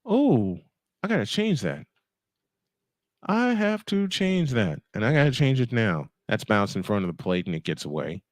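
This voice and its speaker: Animated man calling a ballgame. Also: medium pitch, normal speed, dry recording, studio quality. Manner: rambling, self-interrupting, thinks aloud. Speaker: sports play-by-play streamer. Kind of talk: live baseball commentary mixed with casual chat.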